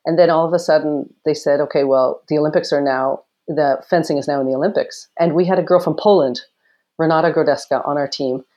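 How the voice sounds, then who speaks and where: A woman in her forties from Canada, a former Olympic fencer with a loud voice, telling stories in a casual interview with quick laughs and acted quotes. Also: low-pitched, steady tone.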